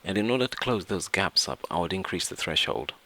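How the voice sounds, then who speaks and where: A man narrating a software tutorial, explaining step by step in an instructional way. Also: sits low, lively intonation, brisk, gravelly. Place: indoors, voice-over to screen recording.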